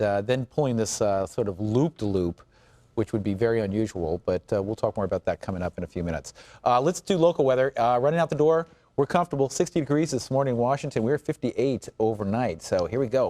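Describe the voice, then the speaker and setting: A man's voice: fairly low pitch, a little mellow, dry recording. A man with an American accent giving a live morning weather report in an informal, joking, chatty way. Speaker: TV weather forecaster.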